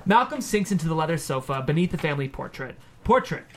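A man's voice: fairly high pitch, big pitch swings, fast talker. A man reading the stage directions as narrator of a screenplay table read.

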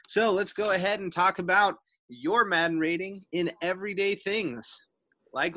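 Self-mocking podcast host, dry mic. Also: male voice, a little high, fairly bright tone, no room sound. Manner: awkward, self-mocking, talks fast in bursts. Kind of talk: casual chat.

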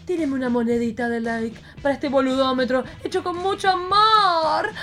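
Female host, slightly high-pitched, playful camp delivery for casual podcast banter. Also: moderate pace, fairly bright tone. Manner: campy, playful, theatrical.

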